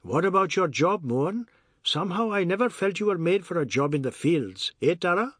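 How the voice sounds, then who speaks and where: An older, domineering man, as a male narrator acts him out for an audiobook, high and swooping in pitch. He needles and lectures with mischievous, giggly emphasis, boastful and sure of himself. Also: expressive, moderate pace, fairly bright tone, breathy edge, dry recording, clean studio sound, exaggerated voice. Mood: teasing, inquisitive.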